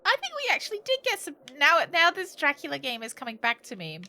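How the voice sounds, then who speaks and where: A woman's voice: sits high, very animated, fast talker, pushed and bright. A British woman livestreaming a game, chatting casually and jokily with sarcastic asides and some swearing. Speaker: game streamer. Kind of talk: casual livestream chat while playing a game.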